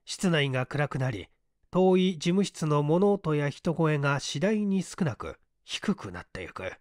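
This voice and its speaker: A man reading mystery fiction as an audiobook narrator, deliberate and theatrical, with pauses. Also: a little high, very animated, dry recording, clean studio sound.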